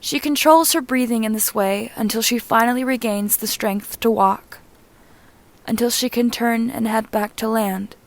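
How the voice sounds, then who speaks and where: A woman reading fan fiction aloud as an amateur audiobook narrator, in a measured, deliberate manner with short phrases. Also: on the high side.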